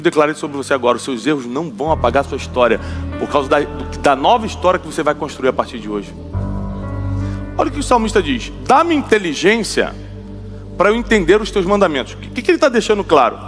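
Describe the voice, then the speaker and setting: A man's voice: a little high, big-room sound. A man, preaching an emphatic sermon and having the audience repeat lines. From Rio de Janeiro, Brazil.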